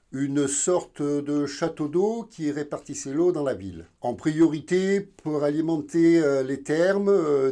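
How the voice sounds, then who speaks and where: Male video host from southern France: fairly high but soft-toned voice, halting explanatory delivery. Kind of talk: informal educational narration.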